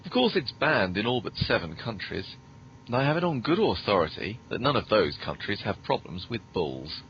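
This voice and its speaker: Man acting as dutiful butler, low swooping voice, unflappable, formal, deadpan, comedy podcast. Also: moderate pace. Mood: dry, deferential. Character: deadpan, dutiful, quietly shady male butler. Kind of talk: scripted comedy podcast skit. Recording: muffled, noisy low-quality podcast audio.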